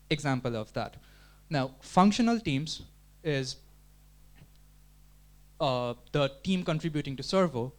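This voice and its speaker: A man giving an explanatory talk at a community meetup, thinking aloud with frequent restarts and filler words. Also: a little high. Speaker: community presenter. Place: meetup room.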